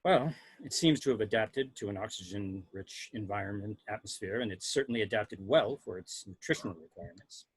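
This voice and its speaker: Man playing starship science officer, analytical, measured, lowish pitch, husky, dry sound, table read. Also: dry recording, poor audio. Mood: clinical. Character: detached, analytical male science officer on spaceship. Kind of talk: amateur table read of a film script.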